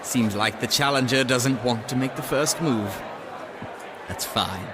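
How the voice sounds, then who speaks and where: A man playing a smug, bullying older officer in an audio drama, measured and condescending. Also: medium pitch, background noise, natural voice. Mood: taunting.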